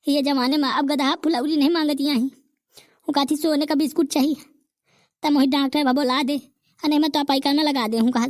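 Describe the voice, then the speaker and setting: A boastful old village grandfather, voiced high and bright by a woman, ranting in a thick Bundelkhandi accent. He brags and grumbles in rustic dialect. The recording is close and dry, with no room sound. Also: steady tone, normal speed, no echo, lightly acted. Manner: bragging, rustic dialect rants. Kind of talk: cartoon comedy voice acting.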